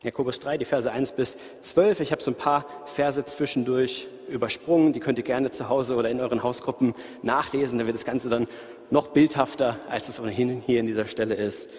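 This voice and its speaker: Man, light Ruhr accent, casual preacher, mid-pitched voice, long hall reverb. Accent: light Ruhr German. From Ruhr area, Germany. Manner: relaxed, conversational, talks directly to listeners. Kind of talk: sermon. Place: church hall, live audience and online stream.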